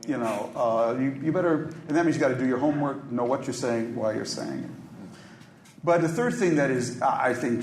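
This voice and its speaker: A man from Minneapolis speaks on a panel in a hotel conference room, thinking aloud with plenty of ums and restarts. The room is echoey, with a faint hum of noise behind him. His pitch sits in a middling range. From Minneapolis, USA. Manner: thoughtful, halting, many ums and restarts. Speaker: journalist, panelist.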